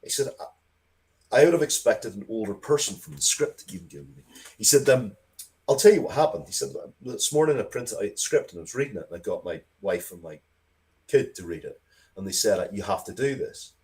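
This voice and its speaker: A man chatting casually as an interview guest, telling rambling, excitable anecdotes with repeated phrases and the odd swear word. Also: fairly low pitch, fairly quick, rough recording. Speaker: interview guest, writer of comics and audio drama.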